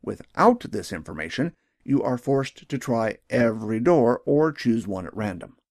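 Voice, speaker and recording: Man narrating a lesson, dry lively delivery. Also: medium pitch, moderate pace, gravelly, dry recording. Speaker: instructor narrating a training video. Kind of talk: scripted explanatory narration.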